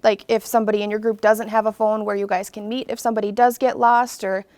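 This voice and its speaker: A woman's voice: medium pitch, flat delivery, fast talker, a little mellow, no echo. A young adult woman, a police officer, answering interview questions casually with fillers.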